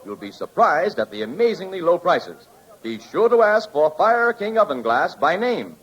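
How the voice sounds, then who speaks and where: A man's voice: high voice, sing-song, normal speed, a bit bright. A man announcing in scripted 1940s radio advertising style, with an emphatic sales delivery. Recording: dull old radio recording.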